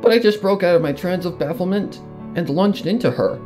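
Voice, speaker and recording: Higher-voiced man telling a dramatic story over music. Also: fairly quick, darkish tone. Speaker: fan fiction narrator. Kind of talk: dramatic first-person story reading. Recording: music under the voice.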